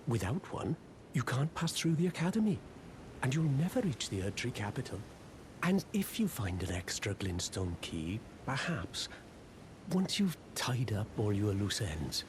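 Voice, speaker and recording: An actor playing a humble, hapless apprentice sorcerer in a video game, apologetic, self-deprecating and hesitant. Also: male voice, on the low side, noisy background. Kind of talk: video game character dialogue.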